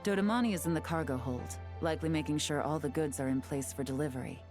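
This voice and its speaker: A woman's voice: low-pitched, music in the background, natural voice. A woman playing a poised, shrewd investigator in a video game, speaking with composed, confident polish. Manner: composed, measured, coolly confident. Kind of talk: video game character voice acting.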